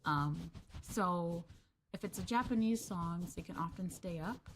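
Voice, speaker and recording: A woman's voice: lowish voice, unhurried, a little hiss or hum. A woman streamer chatting casually with her viewers, addressing them as Masters and filling her talk with ums and likes. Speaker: VTuber streamer.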